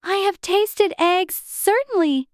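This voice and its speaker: Little girl for a storybook: tiny, very high voice, polite, puzzled, earnest. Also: slow, no room sound, clean studio sound. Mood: polite, doubtful. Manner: prim, earnest.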